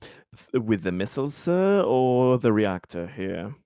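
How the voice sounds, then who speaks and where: A man playing a tabletop roleplaying game, speaking in character with deliberate, somewhat formal phrasing and casual asides. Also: medium pitch, big pitch swings, warm tone, smooth, no echo, clean studio sound.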